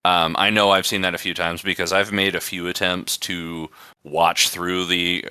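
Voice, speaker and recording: Male podcast host, low-pitched, very forceful and bright, smooth resonant tone, casual rambling chat. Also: little pitch movement, normal speed, dry recording. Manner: rambling, conversational.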